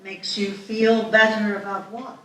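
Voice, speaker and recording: A woman's voice: medium pitch, flat delivery, measured pace, fairly bright tone, lightly acted. A woman playing a concerned, chiding mother in her fifties at a live screenplay read. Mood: concerned, reproving. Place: live festival stage reading in echoey room. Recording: echoey room, distant mic.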